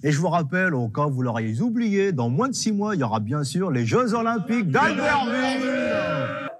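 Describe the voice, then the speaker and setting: A man imitating a pompous regional TV news presenter with an Alsatian accent, speaking slowly with exaggerated announcer emphasis. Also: sits high, sing-song, a little hiss or hum, pitched above the performer's own voice. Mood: mock solemn. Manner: slow, drawn-out, exaggerated announcer delivery. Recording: loud music behind, voice off-mic. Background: guitar music.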